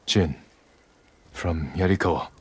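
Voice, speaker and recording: An actor playing a stern, determined samurai in a video game, speaking tersely and resolutely over background ambience. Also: male voice, deep voice, slow pace, breathy edge, no room sound, heavily acted. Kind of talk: dramatic video game cutscene acting.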